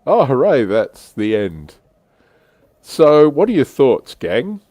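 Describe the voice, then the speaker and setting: A man's voice: mid-range voice, wildly swooping pitch, takes it slowly, mellow, no room sound. A man, hosting a casual panel chat. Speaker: host.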